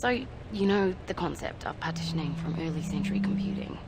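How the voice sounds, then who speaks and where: A woman voicing a composed, caring young spacecraft engineer in a game, speaking in a measured, naturalistic way with hesitant pauses. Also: low-pitched, animated, noisy background. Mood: measured explaining.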